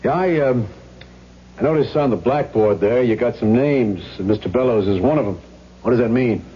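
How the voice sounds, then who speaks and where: An actor playing a shrewd, dogged police detective in a 1970s radio drama, with a probing, deliberate manner. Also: male voice, medium pitch, natural voice. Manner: probing, deliberate, pressing questions. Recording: dull vintage radio recording, faint hum.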